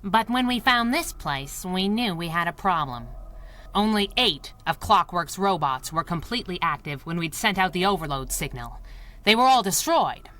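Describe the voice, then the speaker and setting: An actress playing a tough, wisecracking young masked sidekick in a 1930s-style radio adventure, brash and sardonic. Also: female voice, fairly low pitch, animated, moderate pace, pushed and bright. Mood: brisk, hard-boiled.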